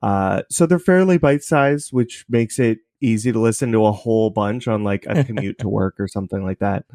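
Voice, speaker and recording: A man's voice: lowish voice, a little fast, a little mellow, no echo, studio quality. A man chatting as an interview guest, explaining his creative work in a loose, thinking-aloud way. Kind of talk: casual interview chat, explaining.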